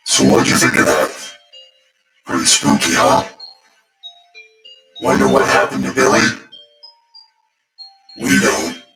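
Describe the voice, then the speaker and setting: A man narrating a spooky bedtime story as its host, in a deliberate, theatrical manner. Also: mid-range voice, very animated, bright, forceful tone. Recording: echoey, distant voice over music. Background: music.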